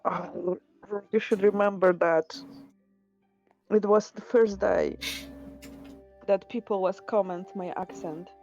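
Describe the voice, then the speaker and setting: A woman from Poland with a Polish accent, talking slowly and haltingly with many restarts in casual chat on a game stream. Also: medium pitch, fairly even intonation, darkish tone, faint music behind. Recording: dull lo-fi stream audio.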